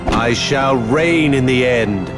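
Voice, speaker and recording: Slow, imperious fantasy villain played by a man. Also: mid-range voice, over music, lightly acted. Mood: menacing, proud. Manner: slow, grandiose, threatening. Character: menacing male villain of grand fantasy, doom-laden and imperious. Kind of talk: fantasy video game voice acting.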